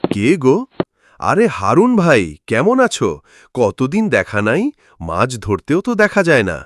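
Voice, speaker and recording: A man's voice: medium pitch, huge pitch swings, measured pace, no room sound. A man playing a friendly, wistful village fisherman in a cartoon, with a rural Bangladeshi Bengali accent. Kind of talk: cartoon fairytale voice acting.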